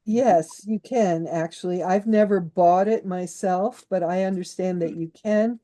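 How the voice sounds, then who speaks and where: A woman guest born in Austria talks through her subject in a loose, conversational way. Her voice sits low and mellow, with frequent restarts and small laughs along the way. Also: a little slow. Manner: chatty, many restarts, laughs while explaining. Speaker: author and herbalist guest. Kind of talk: conversational interview.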